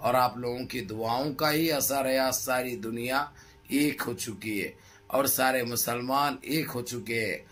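A middle-aged man with a hoarse throat gives impassioned political commentary to his viewers in emphatic, repetitive, rhetorical phrases. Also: mid-range voice, unhurried, fairly bright tone. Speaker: news commentary vlogger. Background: background music.

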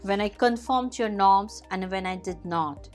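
A woman's voice: medium pitch, moderate pace, faint music behind. A young woman reading an open letter aloud in a declarative, emphatic way. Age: young adult. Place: hotel room.

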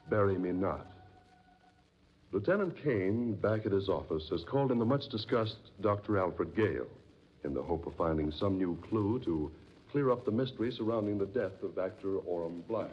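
Male 1940s radio drama announcer, low husky voice, formal scripted narration, dull old recording. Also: animated, moderate pace, faint music behind.

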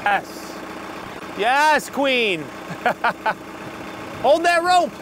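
A man in his thirties casually explaining his building work as he does it, relaxed and chuckling now and then, recorded outdoors on a windy site. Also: extremely high pitch, very slow. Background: wind and machinery.

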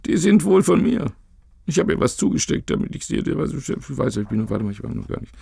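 Guy with a crackly voice, super animated, doing drama takes and stopping himself. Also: on the high side, fairly quick, vocal fry, breathy edge, no room sound, clean studio sound. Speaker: voice actor in an audio drama. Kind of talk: acted lines with casual interruptions in outtakes.

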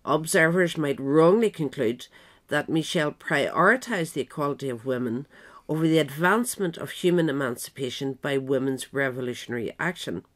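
A woman reading scripted narration in a measured, formal manner, as the narrator of a pamphlet. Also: low-pitched, animated.

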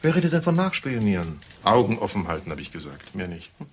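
A man's voice: fairly low pitch, sing-song, fast talker, a little hiss or hum. An actor playing an authoritative police superior in a radio crime drama, pressing and insinuating as he talks. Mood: insistent. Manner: pressing, insistent.

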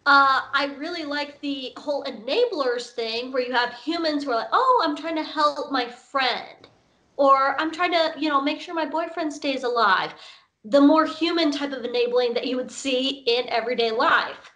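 A woman's voice: high voice, normal speed, bright, forceful tone. A woman on a panel speaking from home in an emphatic, opinionated way. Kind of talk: casual panel discussion. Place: home room.

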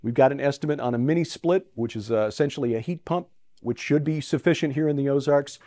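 A middle-aged man hosting a ministry program, giving a relaxed spoken tour with frequent fillers, recorded on a lapel mic in a large pole barn. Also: medium pitch, quick pace, dry recording, studio quality. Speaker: ministry host. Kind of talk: casual walkthrough talk to camera. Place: inside a large metal pole barn and outdoors beside it.

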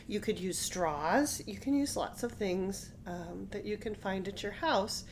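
Middle aged american lady showing kids a craft step by step, slow, medium pitch, bit of background rumble. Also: darkish tone. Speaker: children's storytime presenter. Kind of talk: craft demonstration for children and families. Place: small indoor room.

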